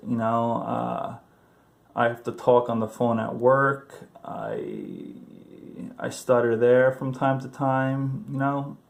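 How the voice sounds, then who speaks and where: A man's voice: mid-range voice, gravelly, a little mellow. A man in his thirties who stutters, talking casually to camera about his own experience, with repeated words and fillers. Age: 30s. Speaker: video host sharing stuttering tips.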